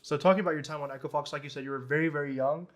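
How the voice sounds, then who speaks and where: A young man hosting an interview podcast, easygoing and quick, asking questions and nodding along. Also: a little high, fairly even intonation. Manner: easygoing, quick, agreeable backchannels. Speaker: podcast host.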